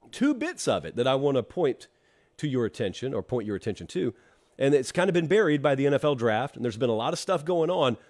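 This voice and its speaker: A man's voice: medium pitch, darkish tone. Chatty male host, stuffed-up voice. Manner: chatty, wry asides to the live chat. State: congested from allergies. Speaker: college football show host. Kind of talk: live-streamed solo talk show with ad read.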